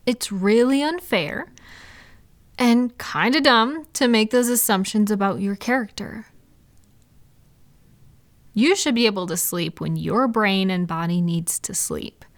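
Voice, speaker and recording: A woman playing a supportive, gentle female friend in an intimate, casual comfort roleplay, speaking in a reassuring, validating way. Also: medium pitch, no echo. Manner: reassuring, validating, softly indignant on listener's behalf. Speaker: audio roleplay performer.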